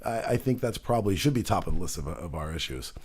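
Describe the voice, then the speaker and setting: A man's voice: low voice, expressive, quick pace, breathy edge. Guy hosting a political chat, rambling, lots of restarts. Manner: rambling, many restarts and fillers. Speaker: show host.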